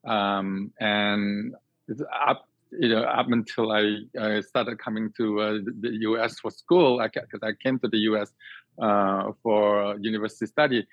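A man from Singapore with a fairly low voice talks as an interview guest in a dry, slightly dull recording. He explains at length and earnestly, circling back over words and rephrasing as he searches for the right one. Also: fairly even intonation, unhurried, no echo. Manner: hesitant, repeats and reworks phrases while thinking. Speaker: guest, cook and cooking teacher. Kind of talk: conversational interview answers. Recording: dry, slightly dull band-limited sound.

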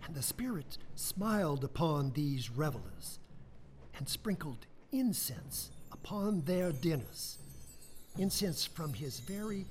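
A man's voice: fairly high pitch, sing-song, takes it slowly, darkish tone, a little hiss or hum. A man narrating stage directions in a storytelling manner for a radio play. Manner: storytelling, descriptive. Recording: radio play with music underneath.

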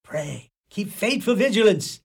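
A man's voice: high-pitched, very animated, a little slow, creaky, slightly rough, full character voice. A man playing a stern, pompous Irish village priest in a radio play, preaching in florid phrases with an Irish accent. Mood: ominous.

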